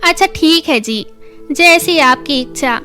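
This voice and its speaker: Woman doing a naggy village milk seller wife for a kids story, homely, really high voice. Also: unhurried, pushed and bright, faint music behind. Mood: polite. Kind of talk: children's moral story dialogue.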